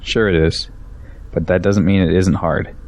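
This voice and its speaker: Deep young male voice, defensive, pragmatic, argumentative actor's role, audio drama. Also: steady tone, moderate pace, darkish tone. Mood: skeptical. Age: young adult. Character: defensive, pragmatic young man arguing compromise.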